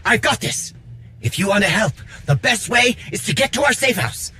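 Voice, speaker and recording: A man playing an earnest, quick-thinking rebel worker in a video game, talking informally and persuasively. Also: sits high, very animated, pushed and bright, slight background noise, exaggerated voice. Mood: urgent, commanding. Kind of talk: video game cutscene acting.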